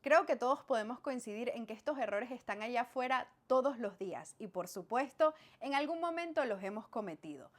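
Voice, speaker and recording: A woman from Venezuela with a Venezuelan accent, explaining language points in a clear, didactic scripted lesson that speaks straight to the viewer. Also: on the high side, animated, a little fast, hardly any pauses. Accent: Venezuelan Spanish. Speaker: language teacher.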